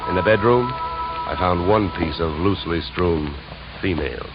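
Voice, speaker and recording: An actor playing a wry, deadpan insurance investigator in a 1950s radio detective drama, brisk and wisecracking. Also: male voice, low voice. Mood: wry, sardonic. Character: wry, hard-boiled male insurance investigator, narrating his case. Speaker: lead actor and narrator. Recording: dull vintage radio recording, music behind.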